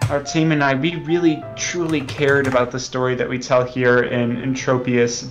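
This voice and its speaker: A man interviewed as a game developer, earnest and self-serious, speaking haltingly. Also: mid-range voice, level tone, soft background music. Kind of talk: mock interview.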